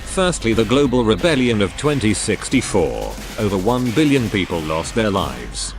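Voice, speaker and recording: A low, expressive male voice of an actor as an AI guide, with music underneath. He is composed and measured, telling his story with wry understatement. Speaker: narrator. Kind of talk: scripted storytelling narration.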